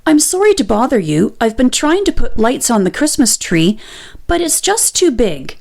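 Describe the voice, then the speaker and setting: A woman's voice: a little high, sing-song, normal speed, vocal fry, darkish tone, exaggerated voice. A woman voicing a timid, polite little male mole in a children's story, hesitant and apologetic.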